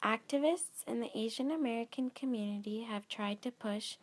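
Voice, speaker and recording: A woman reading scripted narration for a student documentary in a steady, measured way. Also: fairly high pitch.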